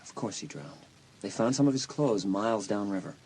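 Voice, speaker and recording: An actor playing a concerned, reassuring school counselor in an audio drama, speaking earnestly and soothingly with some hesitation. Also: male voice, lowish voice, expressive, normal speed, darkish tone, a little hiss or hum.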